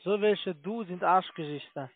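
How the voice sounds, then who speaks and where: A man's voice: sits high, normal speed, slightly rough. A man cold-calling as a call-centre agent, pushy and using non-native phrasing. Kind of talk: phone sales call. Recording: narrow, tinny phone line.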